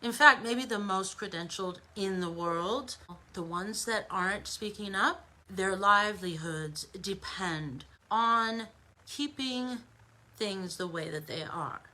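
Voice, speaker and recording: A woman's voice: mid-range voice, takes it slowly, sharp tone, poor audio. An American woman giving an informal opinion monologue as an online commentator, emphatic and conversational, with rhetorical asides.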